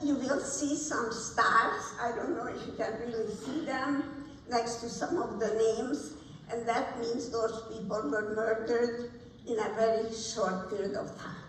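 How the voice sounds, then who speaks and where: A woman in her nineties from Hungary, giving personal testimony to an audience in a plain-spoken, halting way. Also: fairly high pitch, steady tone, a bit bright. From Budapest, Hungary. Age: 90s. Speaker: guest speaker, Holocaust survivor. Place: large hall at a ceremony. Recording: echoey hall, distant mic, faint noise.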